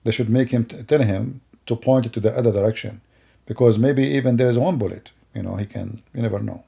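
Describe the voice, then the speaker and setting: Gravelly, mocking middle-aged man. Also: medium pitch, fairly quick, warm tone. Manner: mocking, rambling, with broken grammar. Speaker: commentator. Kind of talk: sarcastic commentary over video clips.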